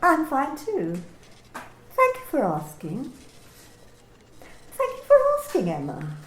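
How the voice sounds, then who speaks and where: Boss figure by an actress, lady manager, calm and needling, lilting high voice, roomy home recording. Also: takes it slowly, noisy background, heavily acted. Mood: cool probing. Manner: calm, insinuating, repeats questions. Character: cool probing female office manager. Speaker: actor in an amateur radio play.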